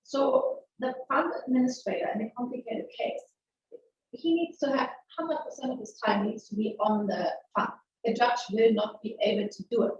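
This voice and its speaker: A middle-aged woman with a German accent gives a frank, lively webinar presentation, often restarting sentences and asking rhetorical questions. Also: on the high side, moderate pace. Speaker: webinar presenter, maritime lawyer. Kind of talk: conversational legal webinar presentation. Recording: very echoey, distant, muffled webinar audio.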